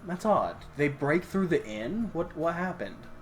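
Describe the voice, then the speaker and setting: A man's voice: fairly high pitch, big pitch swings, measured pace, faint music behind. A man reading a game story aloud, with hesitant restarts and wry side remarks. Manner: reads with hesitant restarts, stops to comment. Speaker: story reader.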